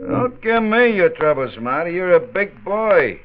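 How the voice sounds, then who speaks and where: An actor playing a slick, callous, streetwise drug dealer with a breezy, tough manner in a 1940s radio drama. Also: male voice, high-pitched, sing-song, a little slow, background noise. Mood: cold, callous. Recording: dull lo-fi old radio recording.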